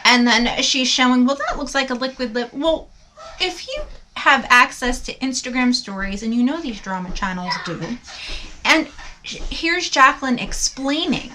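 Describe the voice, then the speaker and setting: Woman vlogger, bright and forceful, rambling casual chat to camera. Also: high voice, expressive, moderate pace, a little hiss or hum. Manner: chatty, rambling. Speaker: beauty vlogger host.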